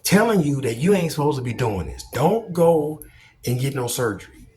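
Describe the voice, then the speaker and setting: A man's voice: medium pitch, very animated, moderate pace, a little mellow, slight background noise. A man giving casual opinion commentary as a vlogger, speaking bluntly and emphatically with plenty of swearing.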